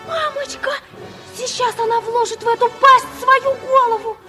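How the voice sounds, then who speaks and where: A woman's voice: very high-pitched, steady tone, measured pace, pushed and bright, soft background music, full character voice. An actress playing an eager, inquisitive, impressionable little girl, childlike and insistent, in a Soviet radio play. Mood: thrilled.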